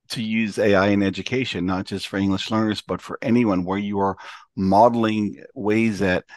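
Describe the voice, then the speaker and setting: A man hosting a podcast interview, who gives measured praise of his guest's points and then asks a follow-up question. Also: on the low side, no echo. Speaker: podcast host.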